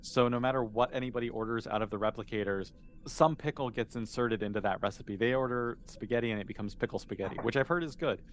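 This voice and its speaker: A man's voice: medium pitch, fast. A man acting as game master, narrating a tabletop roleplaying game in a casual, amused, improvised way. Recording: noticeable background noise.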